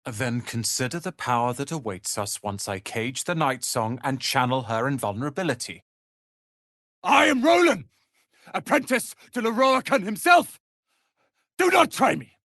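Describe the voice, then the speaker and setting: A man voicing a proud, sharp-tongued young tiefling wizard's apprentice in a video game, with haughty, theatrical, biting delivery. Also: medium pitch, very animated, normal speed, dry recording, clean studio sound. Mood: smug, pompous. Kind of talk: dramatic video game voice acting.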